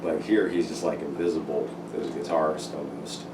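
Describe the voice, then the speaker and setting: Low-voiced man rambling through an album review. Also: steady tone, brisk. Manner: rambling, hesitant, many ums and likes. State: recovering from losing his voice. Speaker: music reviewer. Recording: echoey room, distant mic, noisy.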